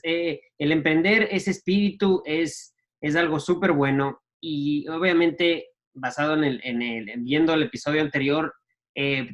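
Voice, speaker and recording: A man, telling stories of his youth in a casual, halting way full of restarts and fillers. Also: on the high side. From Ecuador. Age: 40s. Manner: halting, many restarts and fillers, laughs at himself. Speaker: guest entrepreneur. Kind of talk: casual storytelling.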